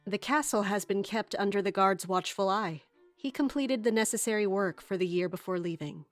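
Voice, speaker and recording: A woman's voice: medium pitch, no room sound, soft background music, close to an everyday voice. A woman playing a resolute, guarded guard captain, speaking formally and narrating in a fantasy audio drama. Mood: polite, guarded. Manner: formal, measured, commanding.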